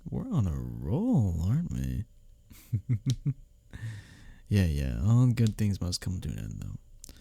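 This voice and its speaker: A man acting as a sardonic male office rival in an intimate ASMR roleplay. His voice is low and husky, and it swings expressively as he needles you, with a caring streak underneath. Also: wildly swooping pitch, slow, pauses often, dark tone, exaggerated voice. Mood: tired teasing. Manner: intimate, teasing, sardonic, chuckling between lines. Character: teasing, bickering male workplace rival, secretly caring. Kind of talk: ASMR audio roleplay, one-sided dialogue.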